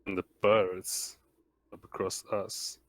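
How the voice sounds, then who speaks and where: Deep, even-toned, hesitant man. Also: takes it slowly, dry recording. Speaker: tabletop roleplaying player. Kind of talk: in-character tabletop roleplay.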